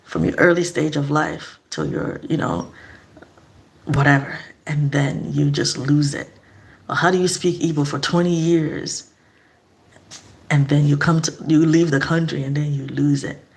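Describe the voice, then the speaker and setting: A woman's voice: deep voice, measured pace, creaky. A middle-aged woman from eastern Nigeria, speaking in a casual, earnest vlog monologue and asking reflective questions. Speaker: vlogger.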